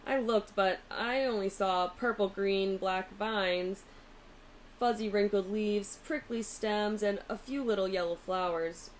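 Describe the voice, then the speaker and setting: A woman narrating a children's storybook aloud in an expressive, deliberate way. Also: mid-range voice, slight background noise, low-quality recording. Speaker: teacher reading a picture book aloud.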